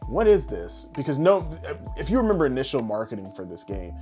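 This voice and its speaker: A man's voice: medium pitch, sing-song, fairly quick, mellow. A man co-hosting a casual podcast, talking in an emphatic, exasperated and profane way. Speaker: podcast host. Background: guitar music behind the voice.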